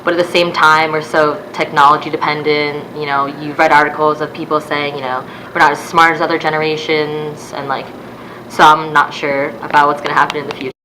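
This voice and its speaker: Bright-voiced candid eighteen-year-old woman. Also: low-pitched, level tone, a little fast, slight background noise, rough recording. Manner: candid, conversational, uses "like". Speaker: interviewee, university freshman. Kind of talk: casual interview answers.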